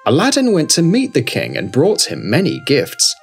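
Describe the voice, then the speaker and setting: Male storybook narrator: middle register, lively intonation, scripted, music bed. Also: on the high side, moderate pace, creaky. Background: background music.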